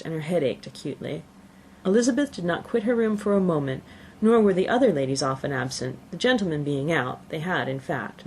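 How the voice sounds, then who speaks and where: A woman's voice: fairly low pitch, darkish tone, a little hiss or hum, rough recording. A woman narrating a classic novel as an audiobook, reading from the text.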